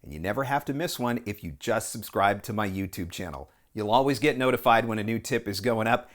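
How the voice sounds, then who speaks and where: A man's voice: fairly low pitch, fairly quick. North American man giving a casual tutorial. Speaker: voiceover coach and video host. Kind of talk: casual tutorial talk to camera. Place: small indoor room at home or office. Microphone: lapel mic.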